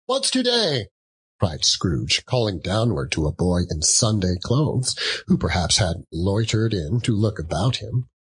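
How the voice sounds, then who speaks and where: A man narrating an audiobook as a theatrical storyteller, with a measured, dramatic delivery. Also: sits low, animated, husky.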